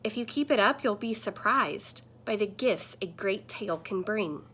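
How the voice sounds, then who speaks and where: A woman's voice: medium pitch, expressive, moderate pace, a bit bright, slightly rough. A woman voicing a talking computer screen in a children's picture book, a protective mentor who admonishes and instructs emphatically. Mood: encouraging.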